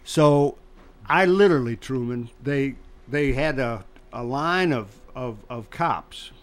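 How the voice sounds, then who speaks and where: Older man from Chicago, chatty storyteller, mid-pitched voice, animated delivery. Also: slow pace. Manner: animated, laughs through his stories. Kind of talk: casual storytelling.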